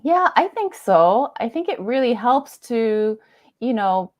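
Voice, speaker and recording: A woman's voice: a little high, very animated, slow pace, dry recording, lo-fi sound. A woman from Canada, a guest in a casual conversation, talking freely with lots of fillers and frequent laughter. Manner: chatty, laughs often, many fillers like "like" and "you know".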